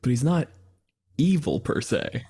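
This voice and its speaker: A man's voice: mid-range voice, big pitch swings, measured pace, gravelly, dark tone, breathy edge. Inquisitive man co-hosting a podcast. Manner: inquisitive, analytical questions. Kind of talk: casual podcast discussion.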